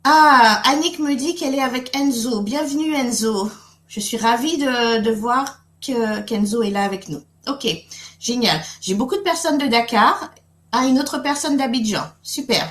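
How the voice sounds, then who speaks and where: A woman's voice: fairly high pitch, reverberant. A middle-aged woman from Guadeloupe hosting a live webinar, speaking in a friendly way with many fillers and restarts. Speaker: webinar host and coach.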